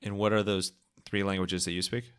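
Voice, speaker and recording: A man's voice: low voice, flat delivery, fairly quick, fairly bright tone, smooth. A young man hosting a podcast interview, asking questions in an upbeat, jokey way and laughing easily. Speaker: podcast host.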